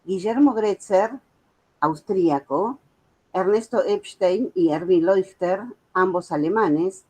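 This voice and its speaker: A woman's voice: on the low side, takes it slowly, darkish tone, rough recording. A woman in her seventies with a Buenos Aires accent gives a prepared talk as a guest speaker, telling her story earnestly with informal asides. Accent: Argentine, Buenos Aires. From Argentina. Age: 70s. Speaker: guest speaker, author and music educator.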